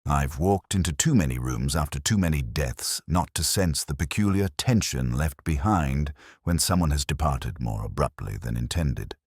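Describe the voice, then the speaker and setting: A man playing a world-weary, middle-aged English detective, narrating a mystery in a measured, reflective, literary and sombre way. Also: extremely low pitch, lively intonation, slightly rough, no echo, clean studio sound, exaggerated voice. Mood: sombre, watchful. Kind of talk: scripted first-person mystery narration.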